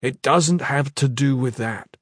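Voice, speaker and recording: A man's voice: medium pitch, a little slow, breathy edge, dry recording, clean studio sound. A man voicing an earnest, principled young squad leader in an audiobook story, reasoning firmly and sincerely.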